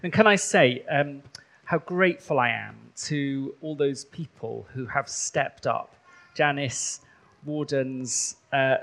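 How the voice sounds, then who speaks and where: A man's voice: medium pitch, vocal fry. A man from rural Wales, a bishop whose first language is Welsh, giving a chatty sermon in a church with many ums. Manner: warm, conversational preaching with frequent ums.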